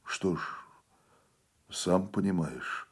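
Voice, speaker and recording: Man playing a quiet, uneasy partisan executioner. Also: low voice, husky, exaggerated voice. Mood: apologetic. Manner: soft-spoken, halting.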